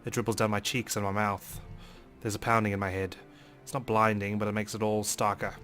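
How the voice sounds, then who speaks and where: Low-voiced man narrating a visual novel. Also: flat delivery, brisk, music in the background. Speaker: let's play host. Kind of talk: dramatic read-aloud narration of a visual novel with commentary.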